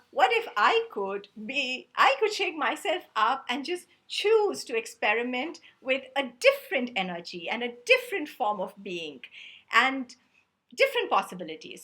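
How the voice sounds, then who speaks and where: A woman's voice: high-pitched, pushed and bright. A woman, a Tamil speaker, giving an earnest, conversational self-help talk. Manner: earnest, conversational, repetitive lists. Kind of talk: reflective motivational talk.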